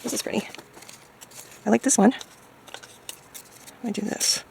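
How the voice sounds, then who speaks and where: A woman chatting casually as she crafts, thinking aloud hesitantly in a hoarse, gravelly voice. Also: a little high, steady tone, measured pace, warm tone, a little hiss or hum. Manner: hesitant, musing to self. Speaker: craft video maker.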